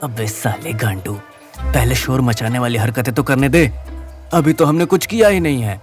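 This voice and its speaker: A man's voice: mid-range voice, moderate pace, soft background music, natural voice. A man voicing a cruel, crude young gang-leader bully in an audio story, threatening and taunting. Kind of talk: Hindi audio story character acting.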